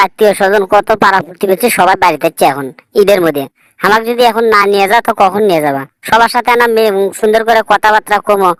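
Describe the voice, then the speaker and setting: নারী কণ্ঠে দ্রুতভাষী ঝগড়াটে গ্রাম্য বউ, উত্তরবঙ্গের টান. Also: female voice, medium pitch, fairly bright tone, no echo, lightly acted, poor audio. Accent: northern Bangladeshi. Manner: insistent, complaining, scolding. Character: quarrelsome young village wife, nagging and defiant. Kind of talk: comic cartoon dialogue.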